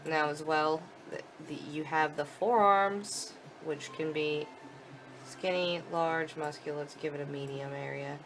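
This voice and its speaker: A woman's voice: low voice, steady tone, measured pace, fairly bright tone, a little hiss or hum, low-quality recording. A woman giving a chatty, informal live walkthrough commentary of a video game, explaining as she goes. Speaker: video game demo presenter.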